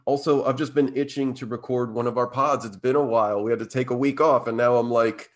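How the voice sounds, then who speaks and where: A man co-hosting a podcast in a casual chat, slightly hoarse and blocked up, rambling with lots of fillers and restarts. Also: mid-range voice, fairly even intonation, a little fast. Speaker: podcast co-host.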